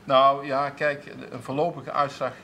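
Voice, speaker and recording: A man's voice: medium pitch, level tone, a little slow, pushed and bright, a little hiss or hum. A man in his thirties, a council clerk, answering interview questions in a TV studio with many restarts and fillers. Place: TV studio with seated audience, at a table.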